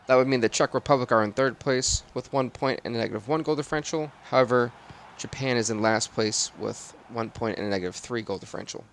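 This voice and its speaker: A man with a raspy voice doing live football game commentary and stream chat in sportscaster phrasing. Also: medium pitch, fast talker, fairly bright tone, a little hiss or hum.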